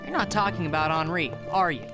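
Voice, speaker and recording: A woman voicing a confident, eager teenage boy, a young colonial reporter in an animated series, with a brisk, self-assured manner. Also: fairly low pitch, over music, lightly acted. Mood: assured, hopeful.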